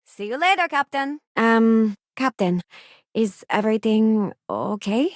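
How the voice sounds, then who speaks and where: An actress voicing an earnest, idealistic former deputy turned starship crew member in a video game, sincere and plain-spoken. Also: female voice, on the high side, animated, unhurried, dry recording, studio quality. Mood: friendly, attentive. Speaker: video game companion character. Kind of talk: acted video game voice lines.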